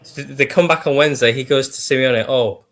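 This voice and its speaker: A man's voice: mid-range voice, brisk, fairly bright tone. A man on a football panel, chatting casually and telling anecdotes.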